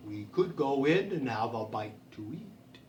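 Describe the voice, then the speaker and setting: Someone doing a slow low cow lady, calm and unhurried, storybook, roomy distant sound. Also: dull audio, a little hiss or hum. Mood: calm, measured. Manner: slow, drawling delivery.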